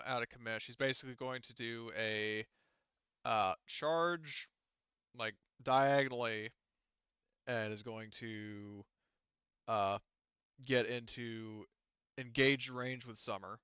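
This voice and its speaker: A man acting as game master, explaining rules and turns in a halting, explanatory way over an online session. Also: medium pitch, bright, forceful tone, clean tone, dry recording, dull audio.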